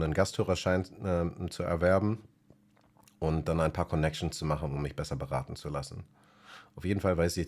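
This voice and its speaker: Very deep-voiced man musing casually. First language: German. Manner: relaxed, thinking aloud with many fillers. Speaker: music channel host. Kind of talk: casual spoken vlog.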